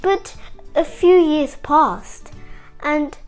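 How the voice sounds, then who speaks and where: A woman with a high, lively voice tells a story for children over music. She takes it slowly, with big swings of intonation, and chats directly to her young listeners. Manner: animated, chatty, asks the listener questions. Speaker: children's story show host.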